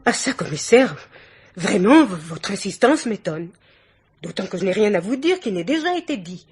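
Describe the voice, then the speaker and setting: Bossy middle-aged society aunt, husky voice, very theatrical and exclamatory, french radio mystery play. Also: female voice, medium pitch, sing-song, moderate pace, darkish tone, soft background music. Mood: affronted. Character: imperious, chattering society hostess and aunt.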